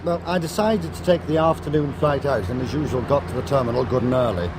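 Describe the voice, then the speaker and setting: An actor playing a wry, cool-headed criminal courier in a radio drama, speaking in a conversational way. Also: male voice, mid-range voice, quick pace, noisy background, natural voice. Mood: wry, reflective. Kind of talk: radio drama acting, anecdotal dialogue.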